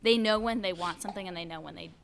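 A young American woman, an actor and singer, chatting as a podcast guest with lots of fillers and animated quotes. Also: on the low side, rapid-fire, gravelly, a crowd in the background. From United States. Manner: chatty, frequent "like" and "you know" fillers, animated quoting of others. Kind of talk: casual conversational interview.

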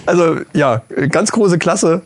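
A man's voice: a little high, expressive, slow, a little hiss or hum. A man with a cold co-hosting a casual podcast, joking and laughing often between lines. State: has a cold, coughing. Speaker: podcast co-host. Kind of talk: casual chat. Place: small room, seated across a table. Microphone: handheld audio recorder on a table.

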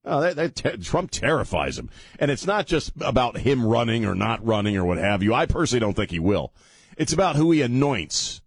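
A man's voice: mid-range voice, big pitch swings, brisk, breathy edge, no echo. A middle-aged man hosting a talk radio show, rambling and sardonic, often restarting his sentences. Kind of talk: casual talk radio monologue. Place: radio studio. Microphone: studio microphone.

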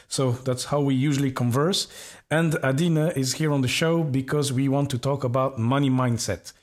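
A man's voice: medium pitch, fairly even intonation, moderate pace. A man from Belgium, hosting a podcast interview, friendly, with many fillers and self-corrections. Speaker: podcast host.

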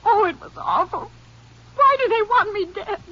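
An actress playing a frightened, high-strung young ranch wife in an old radio drama, pleading to be believed. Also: female voice, moderate pace. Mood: distraught. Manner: pleading, overwrought. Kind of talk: 1940s-50s radio drama acting. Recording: dull vintage radio recording.

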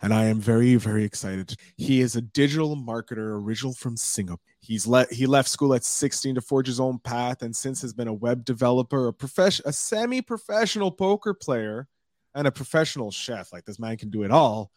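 A man hosting a podcast, opening with a showy welcome and then asking relaxed interview questions. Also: mid-range voice, brisk, no room sound, studio quality. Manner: upbeat showman intro, then relaxed questions. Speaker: podcast host.

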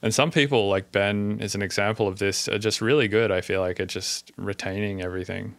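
Low smooth-voiced podcast host. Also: male voice, fairly even intonation, brisk, fairly bright tone, no echo. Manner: laid-back, chatty, uses filler words. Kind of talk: casual podcast chat.

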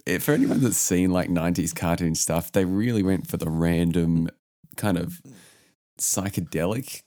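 Casting: male podcast host, flu-roughened, very low register, dry close sound, relaxed and rambling. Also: normal speed, no echo. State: sick with the flu, voice lowered. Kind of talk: casual music podcast chat.

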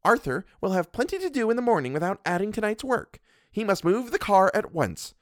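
A man's voice: high-pitched, very animated, fairly quick, no echo, clean studio sound, pitched above the performer's own voice. A man voicing a haughty, scolding lady. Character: imperious, fussy middle-aged woman, a spiritualist wife.

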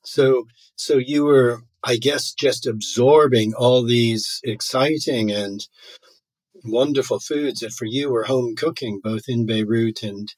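A man interviews a guest, asking courteous, sometimes halting questions with repeated words. His voice is husky and raspy, recorded dry and close. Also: medium pitch, steady tone, darkish tone, no room sound, rough recording. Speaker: host, interviewer.

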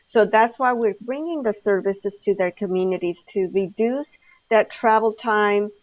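A woman's voice: medium pitch, unhurried, lo-fi sound. A woman community health director explaining programs in an interview clip, with an occasional repeated word.

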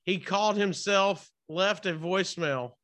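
A man's voice: high voice, a little slow, a bit bright. A man hosting a casual interview, chatting in an informal, rambling, buddy-like way. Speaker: interview host.

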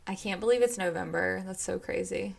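A woman co-hosting a true crime podcast with a kind of raspy voice, agreeable and quick to chime in. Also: medium pitch. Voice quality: kinda raspy. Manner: agreeable, short backchannels, laughs easily. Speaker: podcast co-host. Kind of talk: casual podcast chat.

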